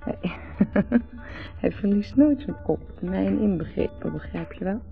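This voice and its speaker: An actress playing a tipsy, rambling, flirtatious wife in a Dutch radio drama, slurred and giggly. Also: female voice, mid-range voice, animated, slow, gravelly. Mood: giddy, searching. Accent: Netherlands Dutch. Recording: dull vintage radio recording. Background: faint party music.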